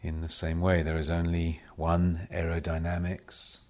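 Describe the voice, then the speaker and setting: A man leading a guided meditation as a spiritual teacher, speaking in measured, solemn short phrases with long pauses between them. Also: deep voice, monotone, a little mellow. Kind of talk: slow guided meditation talk.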